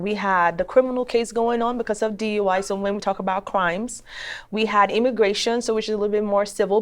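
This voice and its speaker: A woman with a Nigerian accent telling a personal story in an interview, speaking in an expressive, conversational way. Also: medium pitch. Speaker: attorney and judicial candidate.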